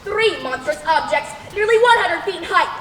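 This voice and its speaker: Woman playing over-the-top radio newswoman, bright sharp voice, stagey, bit of noise and echo. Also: very high-pitched, expressive, moderate pace, far from the mic. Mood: dramatic awe. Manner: melodramatic, breathless announcing. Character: excitable woman radio reporter describing an alien invasion. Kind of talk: mock 1930s radio drama acting on stage. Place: stage in a large hall. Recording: echoey noisy hall.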